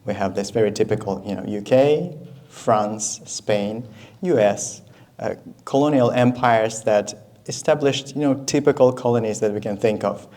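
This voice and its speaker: A man's voice: lowish voice, moderate pace, mellow. A young man from Ukraine whose first language is Russian, giving a conversational university lecture with slides and often checking in with the audience. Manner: conversational, asks the audience questions, frequent "right?". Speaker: guest lecturer.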